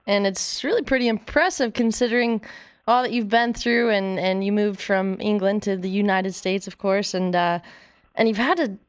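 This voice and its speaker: A woman co-hosts a radio interview in an encouraging, conversational way. Her voice is clear and smooth at a medium pitch. The broadcast sound is a little dulled. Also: highs cut off. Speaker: co-host, retired jockey.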